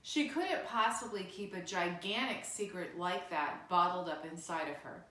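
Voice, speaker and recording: A woman's voice: lowish voice, normal speed, pushed and bright. Woman reading a storybook, echoey room. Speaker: storybook reader. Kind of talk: scripted children's book narration, read aloud. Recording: roomy, echoey indoor sound.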